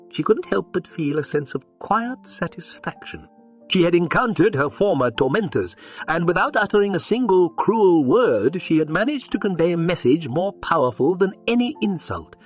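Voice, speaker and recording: A man narrating a scripted story in an expressive, dramatic storytelling manner. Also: a little high. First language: English.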